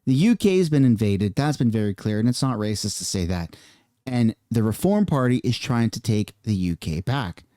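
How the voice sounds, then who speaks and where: Guy ranting about politics, animated voice up and down, super dry close mic. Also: on the low side, moderate pace, no echo. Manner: ranting, heated, casual slang. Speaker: political commentary youtuber. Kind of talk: reaction commentary vlog.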